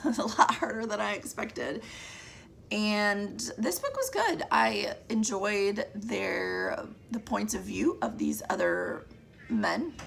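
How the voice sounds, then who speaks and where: A woman book vlogger talks casually through her month's reading in a deep voice with heavy vocal fry. Her voice sounds a little cracked, and she rambles and restarts mid-sentence. A faint hiss sits under the recording. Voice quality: deep, gravelly voice. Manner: chatty, rambling, trails off and restarts.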